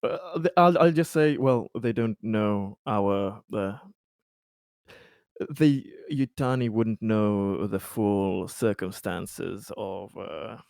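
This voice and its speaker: A man playing a tabletop roleplaying game, speaking in halting, deliberate and somewhat formal phrases. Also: on the low side, creaky, a little mellow, dry recording, studio quality. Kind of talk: improvised in-character roleplay talk.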